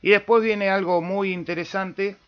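A man with an Argentine accent giving a casual step-by-step software tutorial as host, with small check-in tags. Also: high voice, fairly even intonation, normal speed. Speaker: amateur radio tutorial host. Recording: dull lo-fi voice-over recording.

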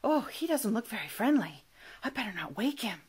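A woman voicing a timid, lonely, gentle monster in a storybook, plaintive and hesitant. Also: fairly high pitch, very animated, normal speed. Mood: worried.

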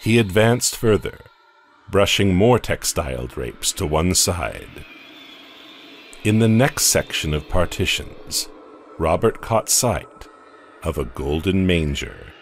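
Deep-voiced guy narrating a horror comic, slow and expressive, faint hiss behind. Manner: slow, deliberate, dramatic.

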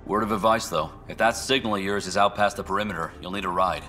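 A world-weary outpost survivor from a sci-fi game. He is blunt, informal and wry, with a very deep, sharp-edged voice. Faint music sits behind him. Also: quick pace. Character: gruff, world-weary male outpost scientist giving practical advice. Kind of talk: scripted video game dialogue.

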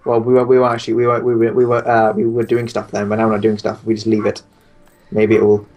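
A man's voice: on the low side, completely flat delivery, darkish tone. A young man from Guernsey with a British accent, grumbling and bantering over a multiplayer strategy game. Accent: British English. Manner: swears, grumbles, quick comebacks. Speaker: gamer playing multiplayer strategy. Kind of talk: casual gameplay chat. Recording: faint game music behind.

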